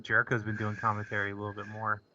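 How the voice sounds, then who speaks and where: A man's voice: on the low side, completely flat delivery, racing, sharp tone, a little hiss or hum. A man hosting a podcast in casual chat, easygoing and agreeable. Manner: easygoing, agreeable, short backchannels. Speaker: podcast host. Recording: dull lo-fi podcast audio, dry.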